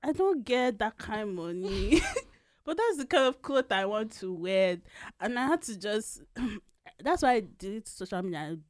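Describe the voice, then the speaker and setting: A woman's voice: medium pitch, animated, normal speed, no room sound, studio quality. A woman in her twenties, co-hosting a podcast in casual chat, sometimes slipping into a girlish baby voice and laughing often. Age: 20s. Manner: chatty, laughs often, trails off and restarts. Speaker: podcast co-host.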